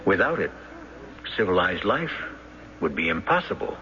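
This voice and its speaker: A man hosting and narrating a radio drama in a deliberate, theatrical, rhetorical style. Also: deep voice, big pitch swings, pushed and bright, a little hiss or hum. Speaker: host and narrator. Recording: dull old radio broadcast. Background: music.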